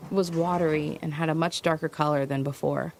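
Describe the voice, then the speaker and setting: A woman's voice: low voice, steady tone, a little fast, creaky, a little mellow, slight background noise. An actress playing a resourceful, stubborn woman survivor in an audio drama, narrating and speaking in a terse, matter-of-fact way. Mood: narrating, subdued. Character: resourceful, stubborn female survivor traveling west. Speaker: lead actor and first-person narrator. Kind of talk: audio drama acting with first-person narration.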